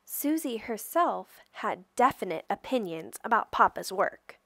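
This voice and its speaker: A woman reading a children's picture book aloud with careful, expressive storytelling delivery. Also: mid-range voice, measured pace, vocal fry, no echo, clean studio sound. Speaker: storybook reader.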